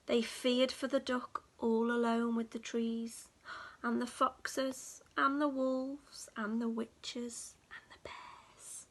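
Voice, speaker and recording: A woman reading a picture book aloud to young children in an expressive, dramatic storytelling manner. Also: a little high, takes it slowly. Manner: dramatic, with hushed whispers and gasps.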